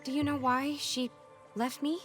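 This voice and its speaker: A woman playing a plucky, wry young heroine in an animated video game, casual and curious. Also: high voice, measured pace, faint music behind. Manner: wry, casual, hesitant asides.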